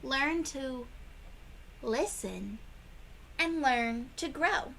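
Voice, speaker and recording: A woman reading a picture book aloud to young children, with expressive emphasis and questions to her listeners. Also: high voice, extremely slow, vocal fry, a little hiss or hum, poor audio. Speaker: children's read-aloud host.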